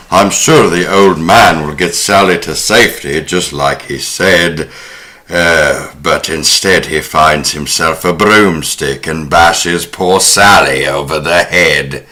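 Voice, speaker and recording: A man's voice: sits low, bright, forceful tone. A man hosting a horror film podcast, speaking in a wry, sardonic way. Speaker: podcast host. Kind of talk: horror film recap and casual discussion.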